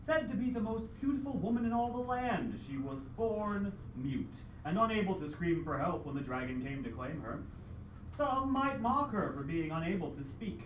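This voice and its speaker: A man's voice: high-pitched, big pitch swings, moderate pace. A man playing a heroic adventurer who narrates his dragon quest as a solemn, melodramatic old-time radio play. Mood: brave, dramatic. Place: live theatre stage with audience. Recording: echoey live hall, distant mic, noisy.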